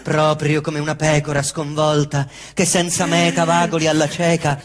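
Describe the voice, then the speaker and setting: A middle-aged man performing a theatrical monologue on stage, swinging between hushed mutters and loud excited outbursts while mimicking engine noises. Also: fairly high pitch, moderate pace, in a large hall. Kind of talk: theatrical monologue in Roman dialect.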